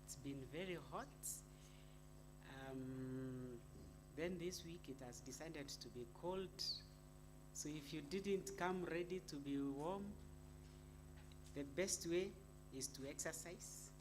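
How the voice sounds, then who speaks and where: A woman with a Kenyan accent speaks at an unhurried, slow pace, addressing an audience informally as an academic. Her manner is chatty and playful, and she often turns to her listeners with questions. The live recording has some background noise. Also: low-pitched. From Eastern Kenya. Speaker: university academic, panel speaker. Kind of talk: informal public talk to an audience. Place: live university event. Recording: clearly noisy live event recording.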